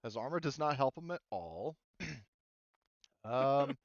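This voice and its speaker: A man's voice: medium pitch, lively intonation, takes it slowly, dull audio, a little hiss or hum. A man running a tabletop game as game master, explaining rules and thinking aloud in a casual way.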